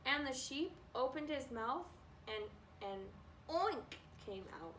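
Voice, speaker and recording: A woman reads a children's story aloud in a high, very bright voice. She takes her time and is expressive with the text. She sounds a little way from the microphone, with faint noise behind her. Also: slow. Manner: expressive, acts out animal noises. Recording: distant mic with faint background noise.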